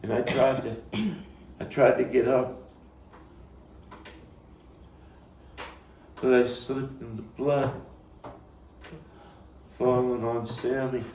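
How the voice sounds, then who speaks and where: A man performing a dramatic monologue as a Brooklyn man recalling a violent boyhood, halting and deliberate, with repeats and weighty pauses. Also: mid-range voice, fairly even intonation, darkish tone, natural voice. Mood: anguished. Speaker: actor and playwright reading his own monologue. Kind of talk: dramatic monologue at a table read. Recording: roomy, distant mic with faint background noise.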